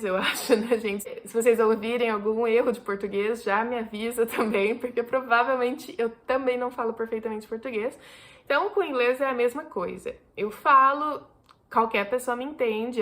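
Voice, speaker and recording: A woman's voice: fairly high pitch, a bit bright. A woman in her twenties from Brazil, chatting casually to camera in a rambling way, full of restarts and fillers. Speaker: vlogger.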